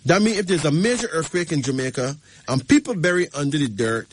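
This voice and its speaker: A man's voice: on the high side, very animated, a little fast, slightly rough, dry recording. A man hosting a show, giving an emphatic numerology reading and checking in with the caller again and again. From Barbados. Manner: emphatic, repeats "right?" to the caller. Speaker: radio host.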